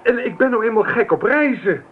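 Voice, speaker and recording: A young husband and student in a Dutch radio play, stubborn and restless. He argues his case insistently with lively, swooping intonation. The old recording is narrow as a phone line, with some noise behind it. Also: extremely high pitch, a little fast. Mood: defiant, earnest. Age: young adult.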